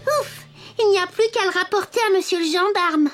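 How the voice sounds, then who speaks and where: Cheerful little wooden toy boy for a french kids cartoon, super high bright childlike voice, music underneath. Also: a little fast. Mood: determined.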